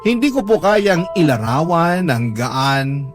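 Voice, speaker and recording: A man's voice: a little high, sing-song, takes it slowly. A man playing a sincere adult son who narrates his family story in a sentimental, scripted radio drama style. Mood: reflective, relieved. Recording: prominent instrumental music under the voice.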